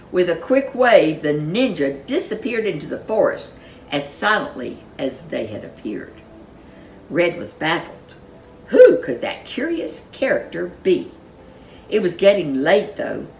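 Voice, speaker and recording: A woman narrating a children's story in an expressive, dramatic storyteller's manner. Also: on the low side, a little hiss or hum.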